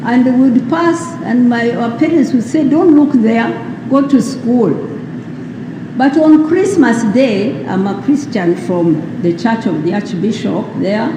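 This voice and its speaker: Woman speaker at a public ceremony, emphatic and rhetorical, noisy echoing PA. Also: fairly high pitch. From Uganda. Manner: slow, emphatic, rhetorical questions. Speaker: speaker at a World AIDS Day event. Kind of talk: formal public speech. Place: large hall at a public ceremony.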